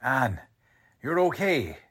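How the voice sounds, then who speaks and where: An actor playing a tough, confident police lieutenant in 1940s-style radio drama. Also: male voice, mid-range voice, sing-song, takes it slowly, fairly bright tone, breathy edge, heavily acted. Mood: confident, wry.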